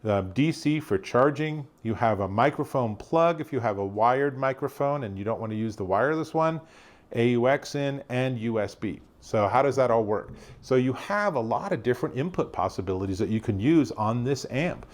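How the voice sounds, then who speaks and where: A man's voice: mid-range voice, animated, moderate pace. A man giving a casual, conversational product demonstration as an enthusiastic tech reviewer.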